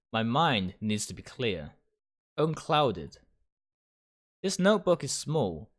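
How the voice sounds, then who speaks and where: A man reading first-person game narration aloud dramatically, in short, deliberate phrases. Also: medium pitch. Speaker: game streamer reading a visual novel aloud. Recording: music behind the voice.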